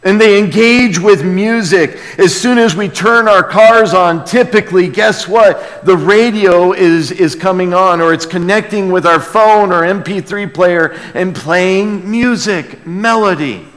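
A male pastor gives a conversational sermon in a fairly high voice. He takes his time, speaking in a folksy, check-in style, and his words ring with long reverb from a large hall. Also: unhurried, few pauses, a bit bright. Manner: folksy, asks rhetorical check-in questions. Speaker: pastor preaching. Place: large reverberant room, preaching to a congregation.

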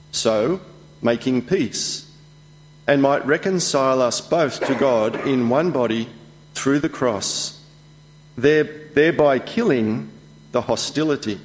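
A man reading a Bible passage aloud in a slow, measured way during a church service. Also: mid-range voice. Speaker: scripture reader. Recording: long echo of a church hall.

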